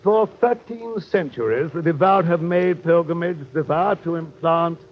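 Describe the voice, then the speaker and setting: An actor playing a genteel, roguish British captain, pompous and long-winded, in an old radio detective drama. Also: male voice, on the high side, a little slow. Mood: pompous storytelling. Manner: pompous, blustering, flowery phrasing. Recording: muffled old radio recording, faint noise.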